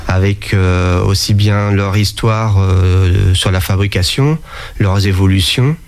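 A man with a fairly low voice talks in a relaxed interview. He stops often with fillers and restarts, and he explains things at length. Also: slow. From France. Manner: hesitant, many fillers and restarts. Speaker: interviewee, sommelier and museum founder. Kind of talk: casual interview talk.

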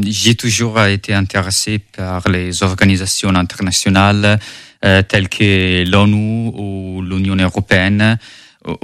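A man whose first language is Italian, with an Italian accent, answering interview questions in French as an intern at an EU agency, measured and full of hesitation sounds. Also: on the low side, a bit bright, smooth, dry recording, clean studio sound. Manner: measured, with frequent hesitation fillers.

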